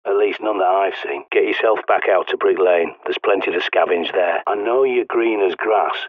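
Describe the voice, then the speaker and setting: Over a narrow, crackly-radio style filter comes a dry, low-pitched English man, a paranoid doomsday survivalist from a video game. He is blunt and sweary, barking instructions like a bossy mentor to a raw recruit. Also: normal speed, no echo. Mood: grim, instructing. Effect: radio transmission filter. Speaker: game character voice actor. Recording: muffled narrow-band radio sound.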